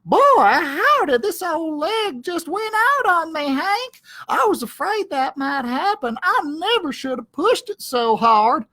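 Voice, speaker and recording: A man's voice: very high-pitched, sing-song, takes it slowly, pushed and bright, voice pushed up, full character voice. A man voicing a small, timid, naive male dog in a children's storybook, with a thin, whiny, plaintive delivery. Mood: whiny, pleading. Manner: plaintive, hesitant.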